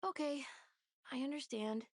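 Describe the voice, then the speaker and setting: A woman's voice: sits high, moderate pace, no echo, clean studio sound, natural voice. A woman voicing a sweet, polite, timid little child in a video game. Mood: grateful, meek.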